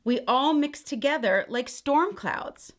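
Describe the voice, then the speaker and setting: A woman's voice: high voice, expressive, takes it slowly, voice pushed up. A woman reading the part of a patient grandmother who looks back reflectively on her childhood in a picture book.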